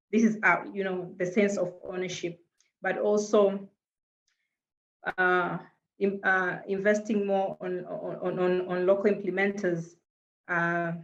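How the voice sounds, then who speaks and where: Tanzanian woman, lo-fi call, earnest. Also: on the low side. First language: Swahili. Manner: earnest, hesitant with frequent repeated words and fillers. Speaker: panelist. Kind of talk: panel discussion answer.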